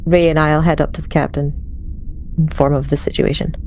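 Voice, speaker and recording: A woman's voice: deep voice, flat delivery, a little fast, darkish tone, a little hiss or hum, rough recording. A woman improvising in-character lines in a tabletop game, speaking firmly and matter-of-factly.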